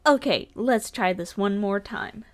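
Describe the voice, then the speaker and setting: A woman's voice: medium pitch, measured pace, a little hiss or hum. A woman narrating her own art video as a casual commentary, informal and lightly self-deprecating, with a joking touch. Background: music.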